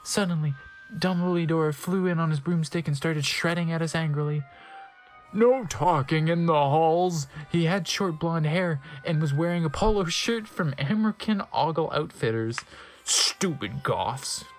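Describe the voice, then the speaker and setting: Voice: mid-range voice, normal speed, faint music behind. A narrator giving a theatrical, tongue-in-cheek comic reading of fan fiction. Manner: theatrical, with mocking asides.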